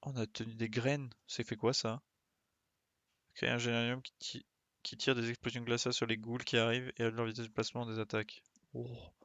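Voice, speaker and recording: A man's voice: mid-range voice, level tone, fairly quick, fairly bright tone, no echo. A man giving casual live commentary as he plays a game, muttering short interjections as he thinks aloud. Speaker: game streamer.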